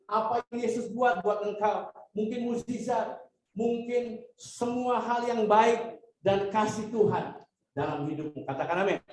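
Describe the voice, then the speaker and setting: A man's voice: high voice, a little slow, darkish tone, slight background noise. A man from Indonesia, preaching a charismatic sermon in an exhortative way, repeating phrases and calling on listeners to respond. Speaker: guest preacher, pastor. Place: church service room, before a congregation. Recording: echoey room, distant microphone.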